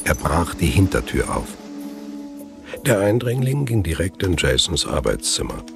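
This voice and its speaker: Male narrator for suspense radio play, very low register, music underneath. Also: sing-song, moderate pace, gravelly, a bit bright. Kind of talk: scripted thriller audio drama narration.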